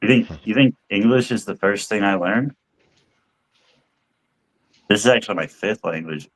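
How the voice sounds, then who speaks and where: A man's voice: lowish voice, moderate pace. A man hosting a casual stream chat, joking and provocative, laughing often. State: high. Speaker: stream host.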